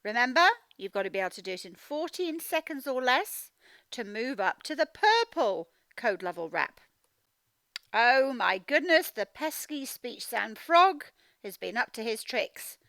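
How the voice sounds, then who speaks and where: A woman teacher giving a children's read-aloud phonics lesson, speaking in an animated, emphatic, instructional way and sounding out words. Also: on the high side, a little slow, dry recording. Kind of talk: children's read-aloud lesson, explaining directly to young learners.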